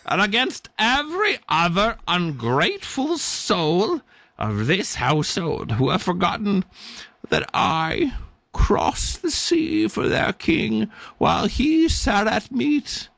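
A croaking aggrieved raven. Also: male voice, wildly swooping pitch, slow, a bit bright, dry recording, full character voice. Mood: bitter. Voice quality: croaking, shrill, strained. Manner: whining, melodramatic complaining. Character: aggrieved, self-pitying male raven.

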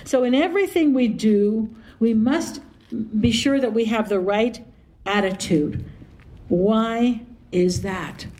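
A woman's voice: a little high, slow, mellow. A woman giving an informal religious teaching talk, explaining emphatically and posing rhetorical questions. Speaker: theology professor and podcast host.

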